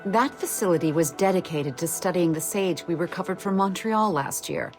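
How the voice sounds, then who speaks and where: An actress playing a cold, demanding corporate superior in a game audio log, speaking in a curt, authoritative way. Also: female voice, on the low side, normal speed, close to an everyday voice. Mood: displeased, accusing. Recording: music under the voice.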